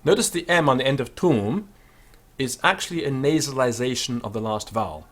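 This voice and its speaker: A man giving an unscripted pronunciation lesson, explaining with fillers and then slowly sounding out words to demonstrate them. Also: mid-range voice, a bit bright. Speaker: Latin teacher.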